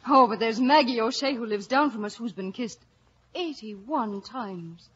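A woman's voice: fairly high pitch, a little slow, a little hiss or hum, natural voice. An actress with an Irish accent playing a guileless, romantic young village woman, earnest and frank, in 1940s radio style. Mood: frank, coy. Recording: muffled old radio broadcast.

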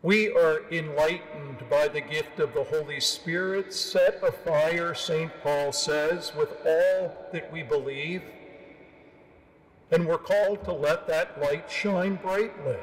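An older man in his sixties preaches a homily from a cathedral pulpit. His voice sits a little high for a man and he speaks very slowly, in solemn, measured phrases. The sound carries a long church reverb. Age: 60s. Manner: measured, solemn, with deliberate pauses. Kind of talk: sermon. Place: large stone cathedral, at the pulpit. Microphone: gooseneck pulpit microphone.

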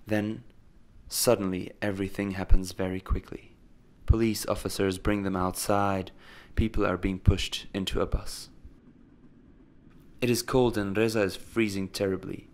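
A man narrating a scripted story in a measured, solemn way. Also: low-pitched, flat delivery. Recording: faint noise and ambience behind.

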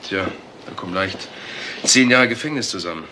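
A man's voice: sits low, expressive, a little fast, heavy background noise. An actor playing a male police investigator in a German crime radio play, reporting in a dry, factual manner. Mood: matter-of-fact.

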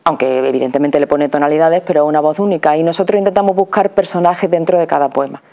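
A woman's voice: sits low, fast talker, mellow. A woman in her forties with an accent from Spain, explaining conversationally as a studio interview guest on a lapel mic. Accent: Spanish from Spain. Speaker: interview guest, radio theatre performer. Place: small TV studio.

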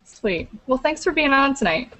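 A woman's voice: high voice, expressive, noisy background. Upbeat young woman co-hosting a video panel. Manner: upbeat, brisk introductions. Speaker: co-host. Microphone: webcam video call.

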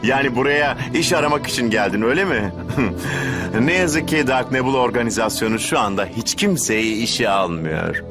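A man's voice: mid-range voice, expressive, moderate pace, music in the background, natural voice. A man playing a haughty, scheming, mock-polite boss of an evil organization in a dubbed anime. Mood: smug, mocking. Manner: smug, mock-polite, commanding.

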